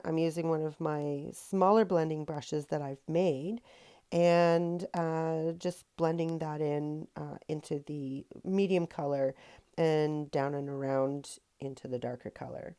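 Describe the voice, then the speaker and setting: Low, gravelly woman with a cold-raspy voice. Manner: relaxed, chatty, many ums and uhs, self-correcting. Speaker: rock painting tutorial host. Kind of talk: casual voice-over painting tutorial.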